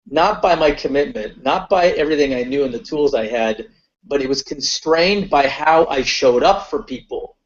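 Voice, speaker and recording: A man from Guyana hosting a webinar, telling a personal story in a reflective way with many fillers. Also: fairly high pitch. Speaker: webinar host. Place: online call. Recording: muffled webinar call audio.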